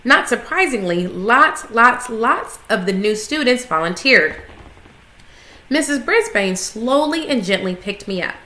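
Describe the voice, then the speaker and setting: A woman reading a children's storybook aloud with expressive, clearly phrased narration. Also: fairly high pitch, a little slow. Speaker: story reader, school coach. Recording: echoey room sound.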